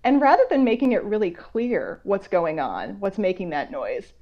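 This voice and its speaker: Woman, medium pitch, children's author read-aloud, measured storytelling with chatty asides, muffled lo-fi online call sound. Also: lively intonation. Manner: slow, measured reading broken by conversational commentary. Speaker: children's author reading her picture book aloud. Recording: dull, lo-fi online call audio.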